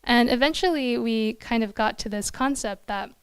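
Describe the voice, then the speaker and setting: A woman's voice: fairly high pitch, moderate pace, clear, resonant tone, no room sound. A young woman giving an informal talk as a product designer, speaking conversationally with plenty of "like" and "kind of" into a handheld microphone. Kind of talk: informal presentation to an audience. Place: small indoor event room.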